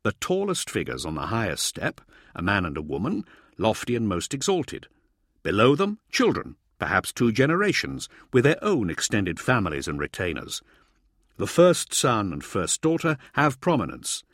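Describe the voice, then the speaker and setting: A man voicing a matter-of-fact, quietly authoritative male expedition leader in an audiobook, measured, firm and a little impatient. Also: lowish voice, wildly swooping pitch, dry recording, studio quality, voice pushed up, exaggerated voice. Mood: firm, explanatory. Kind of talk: audiobook character dialogue.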